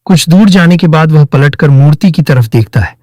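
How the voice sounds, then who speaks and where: A man's voice: a little high, normal speed, darkish tone, dry recording, studio quality. A man narrating a scripted moral story for children as its storyteller.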